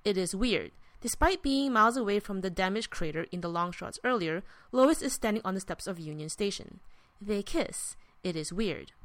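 A woman with a mid-range voice speaks in a deadpan comic manner. She sounds like a podcast co-host, and the recording is dry with little room sound. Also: medium pitch, dry recording. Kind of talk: scripted narration and casual comedy chat.

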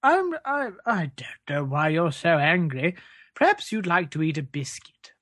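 A man's voice: fairly high pitch, big pitch swings, measured pace, fairly bright tone, no room sound, studio quality, exaggerated voice. A man with a British accent playing a shifty, menacing, shameless parish reverend in an improvised comedy sketch, hesitant, evasive and falsely polite. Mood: evasive, quietly threatening.

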